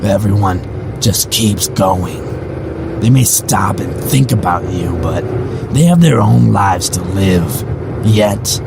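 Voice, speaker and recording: Man playing an ominous faceless stranger, low-voiced. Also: animated, takes it slowly, gravelly, heavy background noise, full character voice. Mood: grim, preachy. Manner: preachy, philosophical monologuing. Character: faceless bandaged mystery man, bitter and ominous.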